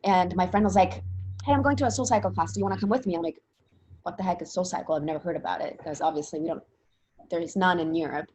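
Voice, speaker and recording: A woman's voice: on the low side, fast talker, darkish tone, slight background noise, low-quality recording. A young woman from Italy, talking casually in an interview with many fillers and restarts. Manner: chatty, rambling with frequent fillers and restarts. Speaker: interviewee, spin instructor.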